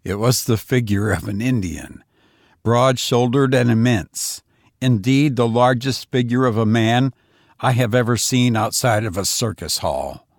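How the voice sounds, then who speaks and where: A man narrates a literary ghost story in the first person. His voice sits at a middle pitch and he reads in a deliberate, measured way. The sound is close and very dry, with little room around it. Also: dry recording. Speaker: audiobook narrator.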